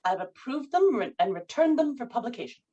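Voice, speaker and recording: A woman's voice: a little high, sing-song, brisk, warm tone, muffled recording. A woman playing a poised, controlling writer's wife in a period drama table read, with formal, persuasive phrasing. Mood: poised, brisk.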